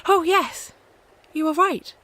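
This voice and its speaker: A woman's voice: very high voice, slow, pushed and bright, dry recording, exaggerated voice. A woman in a radio play acting a fearless, devout young peasant soldier, speaking in an earnest, impassioned, declamatory way. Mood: eager, moved.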